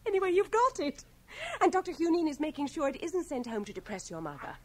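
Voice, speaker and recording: Brisk, teasing young nurse. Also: female voice, high voice, big pitch swings, darkish tone, slight background noise. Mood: playful, proud. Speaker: radio drama actress. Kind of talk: 1980 radio drama acting.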